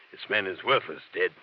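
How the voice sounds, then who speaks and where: Casting: oily, over-polite gangland boss, 1950s radio drama, deep register, faintly noisy vintage recording. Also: male voice, lo-fi sound. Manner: oily, florid politeness with chuckles. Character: suave, menacing fat crime boss.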